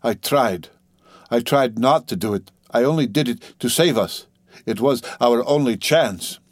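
A man voicing a desperate, ashamed young wife in an audiobook, pleading and halting as the words come out. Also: mid-range voice, no echo, clean studio sound, lightly acted. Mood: quiet, ashamed. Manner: wailing, halting, gasping, repeating pleas. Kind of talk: dramatic audiobook character reading.

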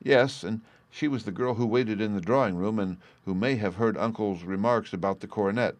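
Man voicing an earnest young Victorian gentlewoman, pleading. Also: on the low side, brisk, no echo, lightly acted. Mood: polite, eager.

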